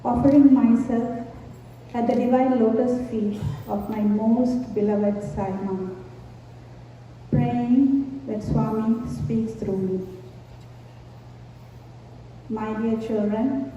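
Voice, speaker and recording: A woman from Sri Lanka giving a devotional talk to a gathering, slow, measured and reverent. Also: on the high side, mellow. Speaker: invited speaker at a devotional gathering. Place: large hall. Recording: echoey hall from far off, dull, faint hiss.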